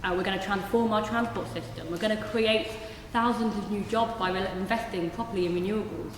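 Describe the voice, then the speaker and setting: A woman speaks in a rousing, rhetorical style at a mid pitch. She is far from the microphone. Her voice rings through the long echo of a hall with steady hiss underneath. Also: fairly quick. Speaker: parliamentary candidate. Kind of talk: hustings speech. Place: large church hall. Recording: distant, echoey hall with hiss.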